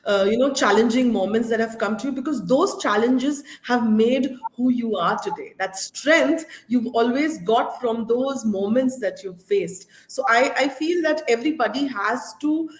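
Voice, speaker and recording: A woman's voice: on the high side, moderate pace, few pauses. A woman with an Indian accent hosting a conversational live interview. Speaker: interview host. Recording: roomy echo.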